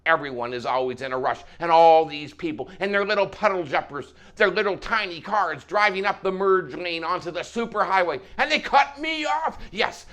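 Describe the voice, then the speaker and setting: A man telling a story, voicing a middle-aged trucker who rants in a commanding, emphatic way. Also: mid-range voice. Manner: commanding, emphatic, increasingly animated. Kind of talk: dramatized anecdote in spoken storytelling.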